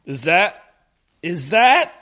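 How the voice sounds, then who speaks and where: A man acting a stressed, weary mobster father in a comedic radio play, halting and exasperated. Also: high-pitched, very animated, a bit bright, a little hiss or hum, heavily acted. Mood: touched, hesitant.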